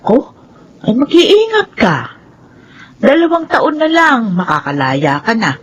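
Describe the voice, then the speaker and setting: A woman's voice: a little high, slow, slight background noise. An actress playing a fond, fussy, motherly older prison worker in a Filipino radio drama. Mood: worried, scolding. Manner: fussy, animated scolding.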